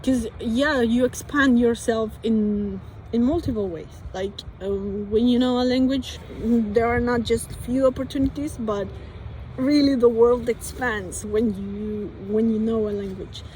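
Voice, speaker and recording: A woman's voice: a little high, steady tone, a little slow, a little mellow, poor audio. Colombian woman thinking aloud, faint street noise. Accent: Colombian Spanish. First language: Spanish. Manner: hesitant, many restarts and fillers. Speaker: vlogger.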